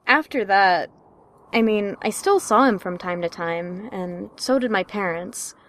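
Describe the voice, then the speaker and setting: A woman's voice: mid-range voice, lively intonation, a little slow, fairly bright tone. Woman storyteller reading a personal spooky tale.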